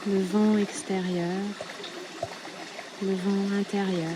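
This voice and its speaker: A woman leading a guided yoga nidra meditation in a soothing, unhurried way, with short phrases and long pauses. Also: medium pitch, little pitch movement, noisy background. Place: outdoors at a riverbank. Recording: outdoor recording with loud running river water. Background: flowing, trickling stream water.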